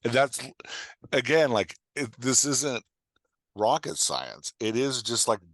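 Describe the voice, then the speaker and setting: A man's voice: medium pitch, slow, creaky, no echo. A man talking casually and bluntly about his craft as a podcast guest and coach, emphatic, often voicing imagined reactions. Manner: animated, emphatic, acts out imagined readers' reactions; informal, blunt, peppered with "like" and "right?". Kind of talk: conversational craft talk.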